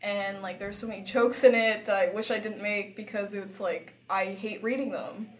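A woman's voice: mid-range voice, steady tone, moderate pace, a little hiss or hum. A young woman in casual podcast chat, giggly and self-deprecating in the way she talks. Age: young adult. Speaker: podcast co-host.

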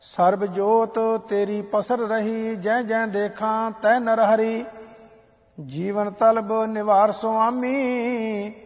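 A man singing devotional scripture in a slow, drawn-out sung recitation. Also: high voice, steady tone. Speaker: hymn singer. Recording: dull lo-fi recording with long reverb.

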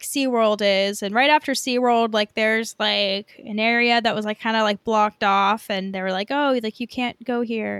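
Casual podcast host, American woman. Clean, resonant, higher-pitched voice with informal, "like"-peppered delivery. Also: fairly bright tone, smooth, no room sound, studio quality.

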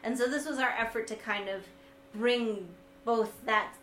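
Sweet, high-voiced woman in an echoey room. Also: measured pace. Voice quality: high, sweet, gentle-sounding voice. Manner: wry, self-deprecating, often laughing. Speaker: vlogger and co-host discussing their video series. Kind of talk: casual reflective conversation. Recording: echoey room, light background noise.

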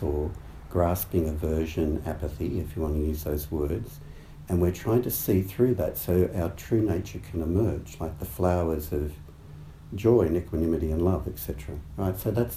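A man with a croaky voice giving a dharma talk as a meditation teacher, speaking haltingly and thoughtfully with many fillers and restarts. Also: low-pitched, dark tone. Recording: roomy echo, faint background noise.

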